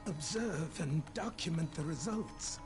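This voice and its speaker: A man playing a preoccupied, fussy scientist in a video game, muttering in a halting, dismissive way. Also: a little high, lively intonation. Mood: weary, dismissive. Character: preoccupied, fussy male scientist, ashamed of fruitless research. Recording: music playing over the voice.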